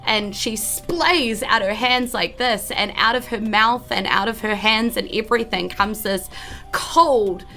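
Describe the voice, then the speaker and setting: A woman's voice: a little high, measured pace, bright, forceful tone, faint music behind. New woman narrating a fantasy game. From New Zealand. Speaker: game master. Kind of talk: improvised tabletop game narration.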